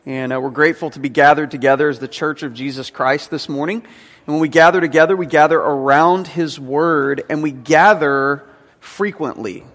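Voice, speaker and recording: A man's voice: a little high, fairly even intonation, normal speed, fairly bright tone. A man in his thirties, a teaching pastor giving a conversational sermon with frequent fillers, in a church sanctuary. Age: 30s. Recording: long hall reverb.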